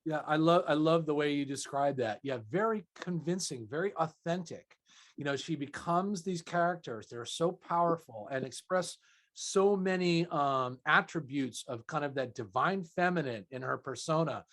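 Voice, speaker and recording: A man's voice: a little high, moderate pace, a bit bright. A man hosting a conversational interview in an effusive, affirming, wordy manner. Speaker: interviewer, host.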